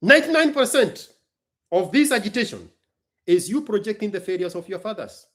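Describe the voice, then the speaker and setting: A man's voice: sits high, brisk. A man hosting a live stream, challenging his viewers in a combative way. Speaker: livestream host and rapper. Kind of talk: live stream talk mixing rap and opinion.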